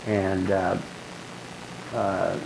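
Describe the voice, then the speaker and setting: An older man with a low, growly bass voice, talking casually in a group and rambling through stories with many restarts. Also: little pitch movement, drawn-out words, gravelly, a little mellow, slight background noise, low-quality recording. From Southern California. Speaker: guest being asked questions.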